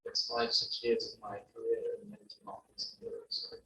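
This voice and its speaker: A man's voice: mid-range voice, completely flat delivery, brisk, bright, forceful tone. A man with a London accent, a guest presenter answering audience questions at a meeting, talking hesitantly with many restarts. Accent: London English. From London, England. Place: meeting room. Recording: echoey room, distant mic, faint hum.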